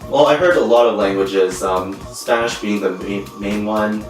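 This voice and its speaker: Man, from Canada, low voice, earnest, casual answers, roomy echo, faint music behind. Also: moderate pace. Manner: earnest, plain recounting with fillers. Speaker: co-host and guest player. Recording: echoey room from far off.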